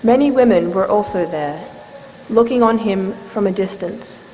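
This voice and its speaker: A woman reads scripture narration in a solemn, measured way at a middle pitch. Her words carry long church reverb, with faint noise behind them. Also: mellow. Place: church, live reading.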